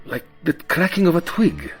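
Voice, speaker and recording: A man playing an anxious, earnest client of a psychic in an audio drama, guarded and halting, speaking formally. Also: fairly high pitch, huge pitch swings, slightly rough, exaggerated voice. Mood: worried, halting. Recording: music under the voice.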